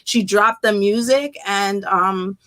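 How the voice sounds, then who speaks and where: A middle-aged woman hosting a podcast in casual chat, talking in an expressive, informal, slangy way. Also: medium pitch, takes it slowly. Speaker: podcast host.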